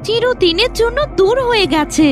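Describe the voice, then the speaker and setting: A woman's voice: very high-pitched, normal speed. A woman playing a young maiden in a fairy-tale cartoon, with a dramatic, girlish delivery. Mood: scheming. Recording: music behind the voice.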